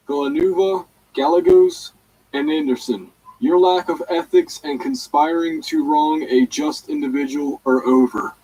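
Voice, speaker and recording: A man's voice: on the high side, dark tone, breathy edge, low-quality recording. A man reading a written document aloud in the manner of an audiobook reader.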